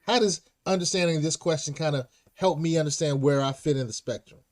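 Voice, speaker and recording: A man's voice: on the high side, a little fast, darkish tone. A man hosting an interview show with enthusiasm, exclamatory and slangy, repeating phrases for emphasis. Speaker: talk show host.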